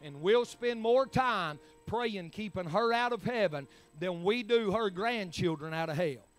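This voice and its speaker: A man's voice: high-pitched, expressive, unhurried. A man, preaching a sermon in emphatic, rising bursts. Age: 40s. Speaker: pastor.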